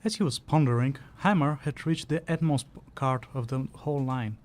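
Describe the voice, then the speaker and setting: A man's voice: mid-range voice, normal speed, a little mellow, lightly acted. A man playing a bossy, bantering co-narrator who follows the hero in a scripted fantasy audio play. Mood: narrating.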